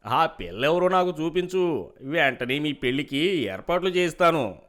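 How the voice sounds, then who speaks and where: A man's voice: a little high, very animated, normal speed, a bit bright. A man playing a scheming older village headman in a moral story, coaxing and patronizing in rustic speech. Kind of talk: moral story character dialogue.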